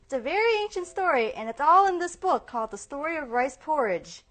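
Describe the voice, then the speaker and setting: A woman voicing a naive, devoted, childlike nurse in an earnest, excitable cartoon style. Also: sits high, fairly quick. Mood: cheerful, earnest. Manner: earnest, repetitive. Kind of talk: cartoon voice acting.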